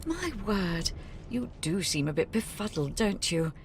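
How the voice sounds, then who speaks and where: A woman's voice: fairly low pitch, big pitch swings, unhurried, slight background noise. A woman playing a smug, condescending jailer in a video game, teasing and patronising with mock sweetness. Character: smug, condescending female prison-ship magister, mockingly sweet jailer.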